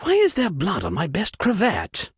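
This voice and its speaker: Fussy posh british lord for a game, super animated, kinda husky, dry sound. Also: male voice, sits high, normal speed, no echo, exaggerated voice. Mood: flustered hosting. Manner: theatrical, indignant, fussy. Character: pompous, flustered aristocratic lord hosting a murder party. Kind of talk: video game character voice acting.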